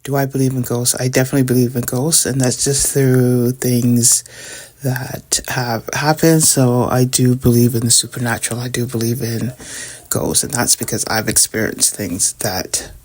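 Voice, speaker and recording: A woman's voice: a bass voice, steady tone, moderate pace, a little mellow. A middle-aged woman, chatting casually to her viewers while tidying up at home. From Canada. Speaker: vlogger. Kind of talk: casual chat while decluttering.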